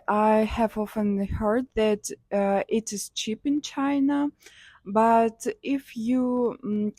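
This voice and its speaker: Young kazakh woman, slow and unsure, lots of uhs, dry close sound. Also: a little high, darkish tone, no echo. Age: young adult. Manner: hesitant, many fillers, simple non-native English. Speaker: interviewee, student. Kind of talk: street interview answers.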